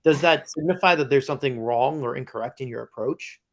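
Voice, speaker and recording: A man in a middle register puts questions to his guest at a steady pace. He probes carefully but keeps it conversational. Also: mid-range voice, brisk, slight background noise. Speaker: interviewer. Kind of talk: casual interview questions.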